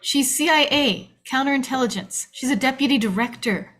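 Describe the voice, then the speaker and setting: A woman's voice: sits high, a little fast, fairly bright tone. A woman playing a frightened young agency staffer, pleading and defensive, in a screenplay table read. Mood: urgent, explaining.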